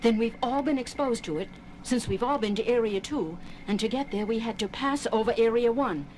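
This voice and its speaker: An actress playing a serious, firm moonbase science officer in a science fiction record drama. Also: female voice, fairly high pitch, fairly quick, darkish tone, a little hiss or hum, natural voice, rough recording. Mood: concerned, firm. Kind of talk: 1970s record audio drama acting.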